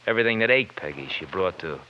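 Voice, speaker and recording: A man's voice: low-pitched, animated, quick pace. An actor playing a bitter young wise guy in an old radio crime drama, speaking in sardonic, slangy, stylized patter. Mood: bitter, mocking. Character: bitter, sardonic young bowling-alley man, failed lawyer. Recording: muffled, noisy old radio recording.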